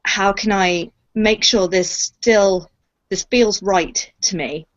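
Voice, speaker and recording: A woman's voice: mid-range voice, slow pace, dull audio. A woman who acts in audio drama, chatting reflectively and expressively about her work, stressing key words. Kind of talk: casual Q&A chat.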